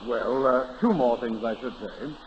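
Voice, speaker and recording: An actor in a 1930s radio comedy playing a solemn, sanctimonious parson with a pompous, preacherly manner. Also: male voice, medium pitch, measured pace, a crowd in the background, natural voice. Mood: solemn, pious. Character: solemn, sanctimonious country parson, an adult man. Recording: muffled, hissy, echoey 1930s radio recording.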